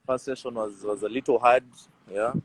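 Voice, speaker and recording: A man in his twenties with a Ugandan accent, telling personal stories in casual chat as an interview guest, animated and repeating phrases for emphasis. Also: medium pitch, moderate pace, background noise. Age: 20s. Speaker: rugby player, interview guest.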